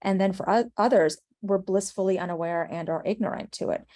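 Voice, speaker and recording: A woman's voice: medium pitch, brisk, darkish tone. A woman with an American accent giving thoughtful interview answers as a writer, earnest and reflective in manner. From United States. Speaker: screenwriter and playwright, interview guest.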